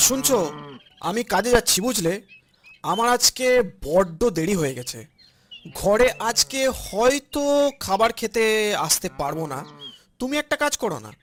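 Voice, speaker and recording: A man's voice: high voice, a bit bright. A man voicing a humble, hardworking village husband and doting father in a cartoon, earnest and full of advice. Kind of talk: Bengali cartoon voice acting.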